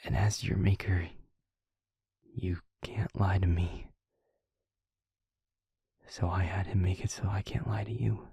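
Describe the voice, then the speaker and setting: A man playing a gentle, devoted vampire boyfriend in an intimate audio roleplay, speaking tenderly and earnestly in a husky half-whisper. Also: sits low, steady tone, dry recording, heavily acted. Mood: earnest, loving. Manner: slow, halting, tender, earnest delivery. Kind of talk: intimate audio roleplay, ASMR-like scripted acting.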